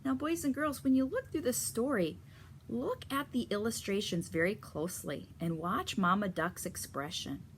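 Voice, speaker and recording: A woman reading a picture book aloud to children in a teacherly way, pausing to explain and ask them questions. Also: medium pitch, animated, a little hiss or hum. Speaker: story reader for children.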